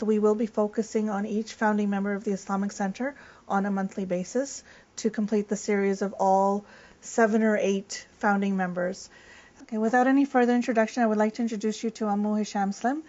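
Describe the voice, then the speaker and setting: A woman's voice: mid-range voice, flat delivery, fairly quick, darkish tone. A woman co-hosting an interview show, asking questions in a polite, slightly scripted way. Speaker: co-host. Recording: muffled lo-fi recording.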